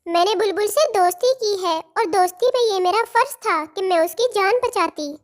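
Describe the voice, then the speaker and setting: A tiny girl bird from a cartoon fable, played by a woman. The voice is extremely high and childlike. She sounds sweet, earnest and a little insistent, like a small child talking to friends and parents. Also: normal speed. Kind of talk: Hindi cartoon story dialogue.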